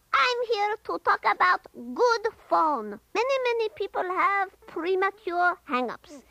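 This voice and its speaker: Very high, creaky little lady adviser. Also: bright, forceful tone, no room sound, exaggerated voice, low-quality recording. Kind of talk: comedy impression for answering machine tape.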